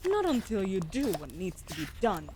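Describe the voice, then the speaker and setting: A woman playing a sharp-tongued, bossy wolf familiar in a fantasy audio roleplay, speaking in a haughty, sardonic, formal way. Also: mid-range voice, very animated, moderate pace, background noise, lightly acted. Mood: smug, impatient.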